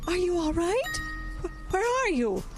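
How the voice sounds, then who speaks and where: An actress playing an imperious, sceptical, sharp-tongued woman in a horror audio drama, with a commanding, clipped manner. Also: extremely high pitch, sing-song, unhurried, over loud music, exaggerated voice. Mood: uneasy.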